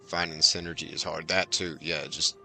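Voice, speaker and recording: A man's voice: very deep voice, level tone, normal speed, creaky, pushed and bright. A man giving casual live game commentary as a streamer, musing aloud and answering his chat. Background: game music.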